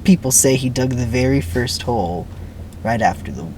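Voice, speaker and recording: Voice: mid-range voice, animated, normal speed, a little mellow, slight background noise, lightly acted. A person voicing a cocky fifteen-year-old boy camper in a dramatic reading, slangy and know-it-all.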